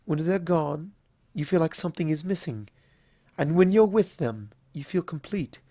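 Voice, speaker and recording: A man voicing a smugly affectionate elder pony princess in a story reading, with a playful, drawn-out, knowing delivery. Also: a little high, expressive, dark tone, no echo, pitched above the performer's own voice. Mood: smug, teasing. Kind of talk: fan fiction story reading.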